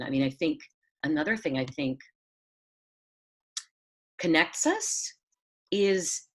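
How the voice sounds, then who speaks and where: A woman from Southern California, a playwright, musing and hedging in a relaxed chat on a video call. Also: lowish voice. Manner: musing, hedging, lots of fillers and restarts. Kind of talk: casual conversation over video call.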